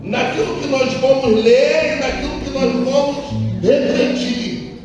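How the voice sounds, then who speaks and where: Hoarse male pastor, high-pitched, slow sing-song sermon, distant mic in a booming church hall. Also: steady tone, dull audio, over loud music. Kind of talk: sermon with responsive Bible reading.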